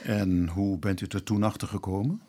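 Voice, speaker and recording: A man playing a probing but considerate police inspector in a radio drama, husky and careful with words. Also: fairly low pitch, steady tone, normal speed, dark tone, lightly acted. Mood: gentle, reassuring.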